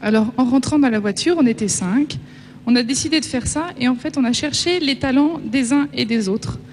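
A woman's voice: high voice, fairly quick, darkish tone. A woman in her twenties from Paris, with a French accent, giving an informal personal testimony. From Paris, France. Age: 20s. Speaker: young witness giving a testimony. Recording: reverberant hall, faint hum.

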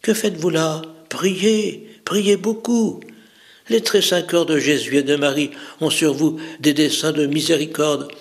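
A man voicing a gentle, solemn adolescent angel in a religious story, speaking in measured, prayerful phrases. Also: fairly high pitch, fairly even intonation. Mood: solemn exhortation.

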